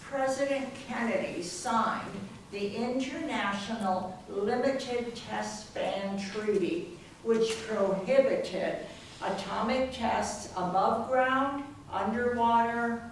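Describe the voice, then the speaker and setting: Measured woman narrator in echoing room. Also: a little high. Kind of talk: scripted narration in readers theater. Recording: distant mic, very echoey room, faint noise.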